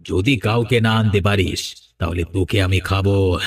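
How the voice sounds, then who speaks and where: A man voicing a menacing, vengeful undead cannibal sorcerer in a Bengali horror cartoon, with a theatrical threatening manner. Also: low-pitched. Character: menacing flesh-eating undead sorcerer ghost, vengeful father.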